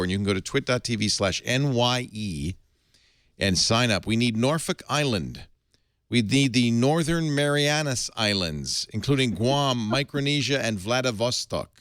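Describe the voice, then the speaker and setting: A man's voice: medium pitch, no room sound, clean studio sound. A middle-aged man hosting a casual tech talk show, joking and laughing often. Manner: jokey, laughs often, animated delivery. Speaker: host.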